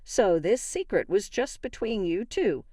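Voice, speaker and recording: A woman's voice: mid-range voice, very animated, a little slow, warm tone, no room sound, studio quality. A woman playing a wronged, indignant wife in a narrated story, argumentative and emphatic. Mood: bitter, firm. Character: wronged, indignant young wife confronting her in-laws. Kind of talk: acted dialogue in a narrated story.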